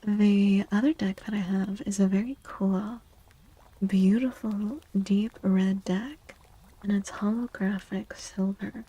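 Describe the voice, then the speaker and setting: Soft, creaky, slow-talking woman, near whisper. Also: mid-range voice, fairly even intonation, dull audio. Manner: slow, gentle, unhurried, repeats phrases softly. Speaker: livestream host. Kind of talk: soft-spoken ASMR livestream chat.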